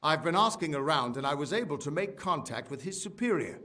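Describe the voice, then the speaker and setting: Lively, chummy adventurer ally. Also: male voice, on the high side, brisk. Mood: friendly, confident. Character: chummy, streetwise male ally in fantasy adventure. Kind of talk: scripted fantasy game acting.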